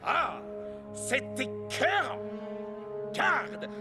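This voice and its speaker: An actor voicing a pompous, vain and cruel little lord in an animated film dub, haughty and barking orders. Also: male voice, very high-pitched, extremely slow, full character voice. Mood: tyrannical. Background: film score music.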